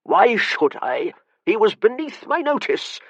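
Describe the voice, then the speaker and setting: A man voicing a frail, irritable, wealthy old gentleman in his later years, short of breath, with a rasping, haughty, slow and weary delivery. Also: high-pitched, sing-song, no echo, voice pushed up, exaggerated voice. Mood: disdainful.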